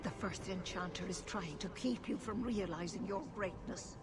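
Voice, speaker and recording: A woman's voice: fairly low pitch, normal speed, over music, natural voice. An actress playing a proud, persuasive female elf leader in a video game, with a solemn, declamatory delivery. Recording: loud ambient noise.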